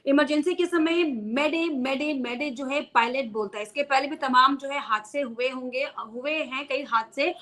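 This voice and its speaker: A woman's voice: high-pitched, normal speed, hardly any pauses, a bit bright. A woman news presenter giving an unscripted explainer to viewers, repeating key phrases for emphasis.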